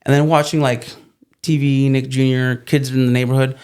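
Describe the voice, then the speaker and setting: A man's voice: medium pitch, level tone, normal speed, a little mellow, clear, resonant tone. Casual rambling man, native Spanish. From California. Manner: rambling, many fillers and restarts. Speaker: podcast guest. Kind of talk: casual interview chat.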